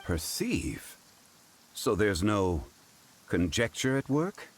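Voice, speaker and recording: A man voicing a calm, learned, dignified figure in a video game, speaking formally and deliberately. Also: fairly low pitch, background noise. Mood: musing.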